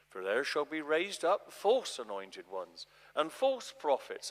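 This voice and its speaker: A man performs a grave, urgent male prophet in a live scripture drama, each phrase weighty and deliberate. The voice sits a little high and moves dramatically up and down, with long reverberation from a large church around it. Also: fairly bright tone, full character voice. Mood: grave, foreboding. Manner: deliberate, solemn, weighty phrasing with swelling emphasis.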